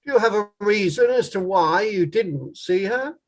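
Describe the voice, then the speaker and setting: An actor as a courtroom inquiry lawyer in a read-through of a drama. The questioning is formal and measured, pressing the witness step by step at a middling pitch. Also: expressive, natural voice. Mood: formal, probing.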